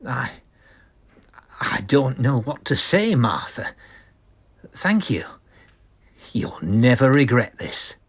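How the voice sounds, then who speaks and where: A man acts out a deluded artist in a horror comic radio play. He is bitter and grandiose, with melodramatic resentment. His mid-pitched voice is husky and takes its time. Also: big pitch swings, slow pace, pauses often, full character voice. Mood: earnest, intense. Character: deluded, bitter, arrogant male artist going mad. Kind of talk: vintage horror comic audio drama acting.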